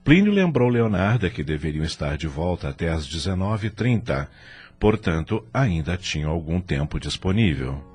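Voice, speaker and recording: A man's voice: deep voice, sing-song, moderate pace, a little mellow, breathy edge. A man narrating a scripted audio drama in a formal, literary storytelling manner.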